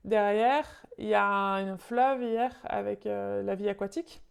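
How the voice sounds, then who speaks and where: A woman interpreting live in an online meeting over a video call, halting and searching for words as she goes. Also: medium pitch, fairly even intonation, creaky, smooth.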